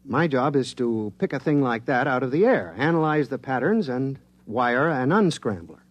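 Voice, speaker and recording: A man's voice: mid-range voice, animated, normal speed, muffled recording, lightly acted. An actor playing an earnest, modest male government scientist who explains technical matters hesitantly, in 1950s radio drama style. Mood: matter-of-fact.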